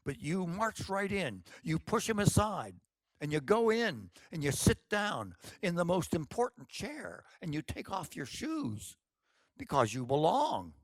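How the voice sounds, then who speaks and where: A man's voice: high voice, big pitch swings, measured pace, no echo, pitched above the performer's own voice, heavily acted, low-quality recording. A man voicing a bossy, scheming older woman who talks in a pushy, dramatic way.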